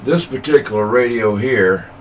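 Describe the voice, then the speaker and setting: A man chatting casually over CB radio in a folksy, halting way, searching for his words. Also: mid-range voice. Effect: CB radio transmission. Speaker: CB radio operator. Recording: distant, slightly noisy CB radio transmission.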